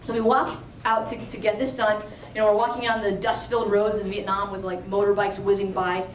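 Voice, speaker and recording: A woman's voice: mid-range voice, flat delivery, fairly quick, far from the mic, slight background noise. A young woman from Virginia telling a true story to a live audience, chatty and conversational. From Alexandria, Virginia, USA. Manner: chatty and conversational, full of "like" and "you know". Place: live storytelling event in a roomy venue. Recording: roomy live venue, voice from off-mic.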